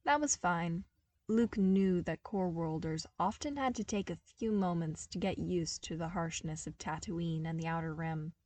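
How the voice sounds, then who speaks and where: A woman's voice: on the low side, unhurried, darkish tone, no echo, recorded from a distance. A woman reading a story aloud as scripted narration for a podfic. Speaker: podfic reader. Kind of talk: scripted fiction narration with character dialogue.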